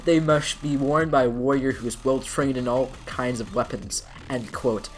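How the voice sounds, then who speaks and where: A man's voice: medium pitch, steady tone, moderate pace, close to an everyday voice. A man playing a pretentious, proudly unashamed online art poster in a solemnly earnest comedic dramatic reading. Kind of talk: dramatic reading of an internet comment argument.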